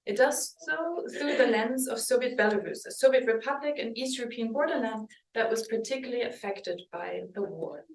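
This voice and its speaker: A woman from Germany giving an academic book talk as a historian, speaking thoughtfully with pauses and restarts. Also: on the high side, slight background noise. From West Germany. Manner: thoughtful, hesitant, with restarts and ums. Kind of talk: academic lecture with slides. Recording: roomy, mic at a distance.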